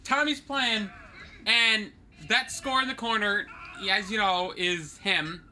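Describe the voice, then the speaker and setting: A man co-hosting a game let's play, joking and teasing the player with animated commentary. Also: sits high, slow pace, slight background noise. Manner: teasing, animated, exclaims and laughs often.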